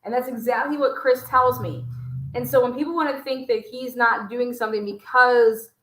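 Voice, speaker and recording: Woman, high-pitched, roomy echo, livestream host, emphatic, indignant, sweary commentary. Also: steady tone, normal speed, fairly bright tone. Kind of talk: impassioned live commentary on a recording. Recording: roomy, echoing livestream sound.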